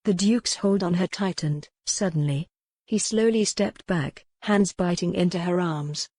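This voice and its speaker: A woman's voice: on the low side, measured pace, warm tone, no echo. A woman reading scripted historical romance narration as an audiobook narrator.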